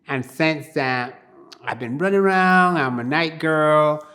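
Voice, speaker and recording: A woman's voice: sits low, slow pace, a bit bright. An older woman from Barbados, telling her life story in an oral history interview in a plain-spoken, reflective way.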